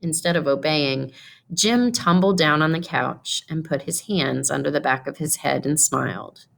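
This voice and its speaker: Low-pitched, creaky-voiced woman narrating. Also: normal speed, a bit bright. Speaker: story reader. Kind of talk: expressive scripted storybook narration.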